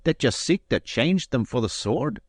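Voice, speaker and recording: A man reading aloud and voicing a proud Highland piper, coolly courteous and needling. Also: very animated, moderate pace, breathy edge, no echo, clean studio sound. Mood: mocking.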